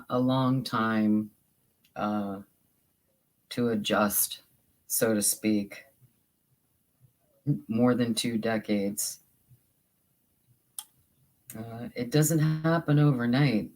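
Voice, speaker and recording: A livestream host with a soothing voice, chatting casually with viewers while crafting, halting and restarting often. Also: mid-range voice, rough recording. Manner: slow and halting, with many repeated starts.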